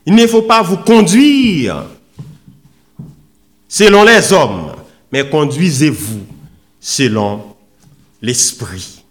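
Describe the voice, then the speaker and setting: A man preaching a sermon in an oratorical, emphatic manner, with deliberate pauses and repeated phrases. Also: a little high, animated. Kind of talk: sermon with scripture readings and rhetorical questions.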